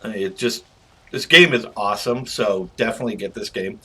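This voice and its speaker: A man's voice: fairly low pitch, fairly quick, bright, forceful tone, breathy edge. A man doing casual, chatty game commentary as a channel host, rambling informally through asides.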